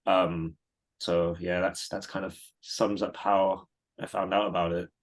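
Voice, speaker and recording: A man in his thirties from Singapore, answering interview questions in a relaxed, rambling way with many fillers and restarts. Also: low-pitched, a little slow. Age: 30s. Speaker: guest.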